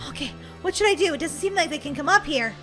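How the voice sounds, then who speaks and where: A woman's voice: sits high, lively intonation, fast, loud music behind the voice. A woman voicing a nervous, dramatic little orphan girl in a children's game roleplay, exclaiming and whining. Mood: panicked. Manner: breathless exclaiming, whiny stammering. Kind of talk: children's Roblox roleplay story.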